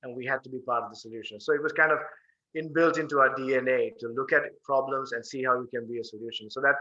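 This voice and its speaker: A man's voice: mid-range voice, steady tone, fast talker, pushed and bright. A man in his thirties from Sri Lanka, speaking earnestly and fluently as a guest on a video call. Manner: earnest, fluent, with frequent "uh" fillers. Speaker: guest, peace organization founder. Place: home room with bookshelves. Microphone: video call webcam. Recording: dull lo-fi webcam audio.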